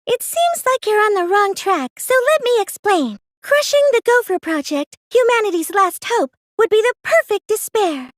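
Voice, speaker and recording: Game villain: young cosplayer mastermind, piercingly high, very animated, taunting, dry close recording. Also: female voice, dry recording. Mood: mock-sweet explaining. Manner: theatrical, gloating, taunting. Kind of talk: anime game voice acting.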